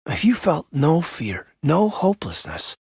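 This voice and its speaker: A man playing an earnest, loyal military officer in a space-war video game, with a husky, raspy voice and a sincere, thoughtful manner. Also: a little high, wildly swooping pitch, unhurried, darkish tone, no room sound, clean studio sound, exaggerated voice. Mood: somber, earnest. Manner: sincere, thoughtful, often sighing. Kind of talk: video game character dialogue.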